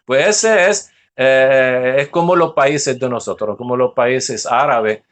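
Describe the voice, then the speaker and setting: A middle-aged man from Jordan, answering interview questions in a relaxed way with frequent fillers. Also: medium pitch, slow. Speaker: guest. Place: mosque.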